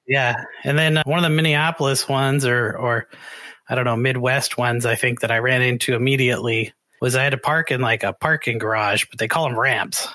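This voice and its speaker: A Canadian man hosting a casual podcast interview. His voice is bright and mid-pitched, recorded dry and close. He talks in a chatty, rambling way, telling things like stories. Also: brisk, dry recording. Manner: chatty, rambling, animated storytelling. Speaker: podcast host.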